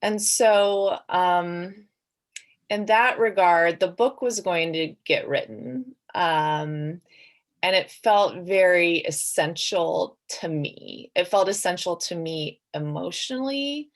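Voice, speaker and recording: A woman's voice: on the low side, slow pace. A woman author talking casually and reflectively on a panel, hedging and saying "like" often as she thinks aloud.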